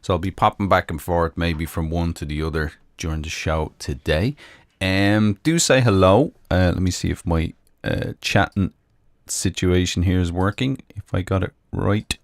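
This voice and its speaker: Deep-voiced Irish man, easygoing chat. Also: normal speed, gravelly, no room sound, clean studio sound. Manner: rambling, friendly, many ums and uhs. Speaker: musician hosting a live stream. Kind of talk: casual live-stream chat. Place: home room in Michigan. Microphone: close microphone.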